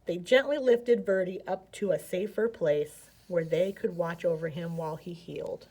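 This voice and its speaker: A woman reading a picture book aloud as a children's storyteller, with animated, dramatic emphasis. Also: on the low side, moderate pace, husky, rough recording.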